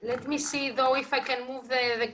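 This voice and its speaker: A woman's voice: high voice, flat delivery, fairly quick, fairly bright tone. A woman casually moderating an online reading group as its host, speaking over a video call. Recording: noisy video call with clicks.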